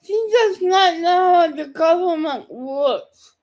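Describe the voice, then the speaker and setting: A woman's voice: very high voice, expressive, takes it slowly, a little mellow. A woman giving casual reaction commentary, blunt and speaking in disjointed phrases.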